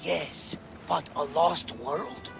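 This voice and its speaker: An actor playing a teasing, skeptical Greek adventurer in a video game, with a gravelly edge and a bantering manner. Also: male voice, fairly high pitch, animated, very slow, music in the background, exaggerated voice. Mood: teasing, doubtful. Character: teasing, skeptical male Greek adventurer, cocky but loyal companion. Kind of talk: video game cutscene acting.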